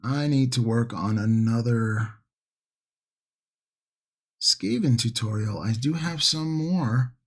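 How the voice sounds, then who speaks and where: A man hosting a casual painting livestream, chatting to viewers in an unhurried, rambling way as if musing to himself, with a husky, gravelly voice. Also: mid-range voice. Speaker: livestream host, miniature painting hobbyist.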